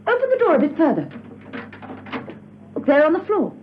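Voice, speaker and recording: An actress playing a poised, curious woman agent in a classic spy radio drama, crisp and inquisitive. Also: high-pitched, big pitch swings, normal speed. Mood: puzzled, intent. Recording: dull radio recording, faint noise.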